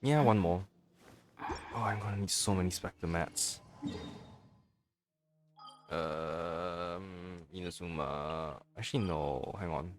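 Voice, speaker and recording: Low-voiced man streaming a video game. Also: takes it slowly, pauses often, faint music behind. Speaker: live-streaming gamer, host. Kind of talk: casual let's-play commentary.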